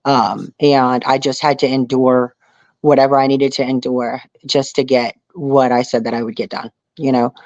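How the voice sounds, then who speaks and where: A woman's voice: a bass voice, little pitch movement, normal speed, darkish tone, dry recording. A woman speaking earnestly as an interview guest, telling her story casually and often saying "you know". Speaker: interview guest, reality survival show contestant. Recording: dull lo-fi audio.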